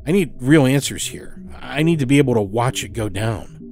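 A man's voice: medium pitch, expressive, normal speed, darkish tone, soft background music, natural voice. A man playing a wary, demanding patient in a horror audio story, questioning and intense. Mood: demanding, uneasy. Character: wary, demanding male patient in a dream experiment.

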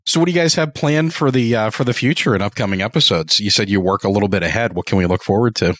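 A man with a lowish voice hosts a podcast conversation. He speaks casually and thoughtfully, pausing as he recounts, in a dry recording with little room sound. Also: fairly bright tone, no room sound. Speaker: podcast host, interviewer. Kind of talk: casual interview chat.

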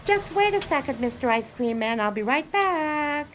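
Radio play: eager, pushy youngster, played by a woman, squeaky-high and very animated, wheedling. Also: normal speed, a little hiss or hum. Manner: pushy, childish, casual. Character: wheedling eager neighborhood kid angling for a ride.

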